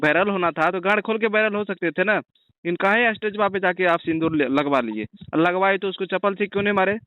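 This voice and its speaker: Tinny phone call, fairly high male voice, blunt, badgering, accusing interviewer. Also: fairly quick, dry recording.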